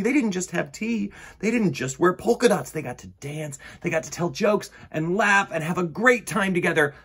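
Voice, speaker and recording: Very animated, husky male storytime reader. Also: high voice. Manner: expressive, emphatic. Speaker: children's storytime host and reader. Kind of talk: animated picture-book read-aloud for children.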